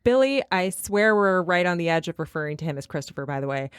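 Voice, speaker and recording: A woman's voice: lowish voice, expressive, fast, clean tone, dry recording. A woman with a Midwestern accent hosting a podcast, telling a story in casual chat and laughing often. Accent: Midwestern American. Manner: chatty, laughs often, reads quotes aloud. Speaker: podcast host.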